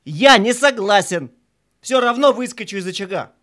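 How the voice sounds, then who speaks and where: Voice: medium pitch, animated, moderate pace, fairly bright tone, close to an everyday voice. An actor playing a defiant, hot-tempered Fire in a children's audio play, threatening and rebellious.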